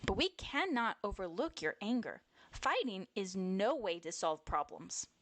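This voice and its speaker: Woman playing a school principal bear, storybook grown-up, firm, caring, admonishing, measured slow delivery. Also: mid-range voice, sing-song. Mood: firm, reasonable.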